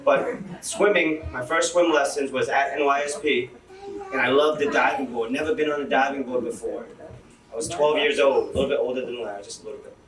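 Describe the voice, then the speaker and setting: A man's voice: mid-range voice, steady tone, a little fast. Casual young male teacher speaking to a crowd, laughing often, echoey room. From Lowell, Massachusetts. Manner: chatty, laughing, storytelling. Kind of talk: casual speech to an audience. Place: event hall. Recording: echoey hall from far off.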